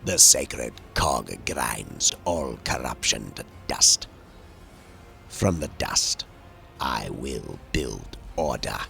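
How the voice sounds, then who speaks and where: Man as a rasping, very deep machine-priest. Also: very animated, pushed and bright, soft background music, full character voice. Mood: grimly zealous. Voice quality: rasping, very low. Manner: slow, weighty, clipped pronouncements. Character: stern, coldly logical male machine-priest zealot.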